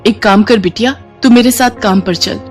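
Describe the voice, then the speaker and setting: A woman voicing a humble, anxious housemaid and caring mother in a storybook tale, meek and gentle. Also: a little high, normal speed, faint music behind, natural voice. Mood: caring, worried.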